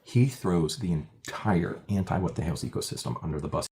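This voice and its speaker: A man's voice: sits low, a little fast, vocal fry, darkish tone. A man in his forties on a livestream, recounting a court hearing in an emphatic, incredulous way. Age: 40s. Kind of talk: casual livestream talk.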